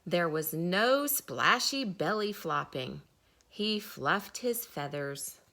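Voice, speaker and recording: A woman, a teacher, reading a children's story aloud with deliberate dramatic pauses. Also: lowish voice, lively intonation, pushed and bright.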